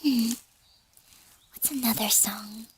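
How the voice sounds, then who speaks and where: A high, girlish-pitched woman talks in a soft ASMR whisper. Her delivery is gentle and slow, with breathy, slightly hoarse edges as she chats to her listeners. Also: fairly even intonation, no room sound. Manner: gentle, unhurried, chatting to listeners. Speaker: streamer performing as a flower fairy character. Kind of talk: soft ASMR roleplay, whispering and a cappella lullaby singing.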